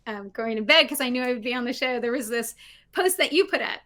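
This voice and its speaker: A woman's voice: a little high, quick pace. A middle-aged woman speaking as a guest on a video call from her living room, talking animatedly and often tagging her points with "right?". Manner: animated, frequent "right?" tags, laughs. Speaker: guest, diversity consultant. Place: home living room with bookshelves. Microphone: video call, laptop mic.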